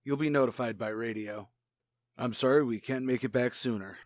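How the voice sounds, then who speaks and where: Man playing a brisk space commander, very dry sound. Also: medium pitch, dry recording, close to an everyday voice. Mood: businesslike. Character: brisk, authoritative male space fleet commander. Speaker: announcer and actor in an audio drama. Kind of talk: retro radio drama acting.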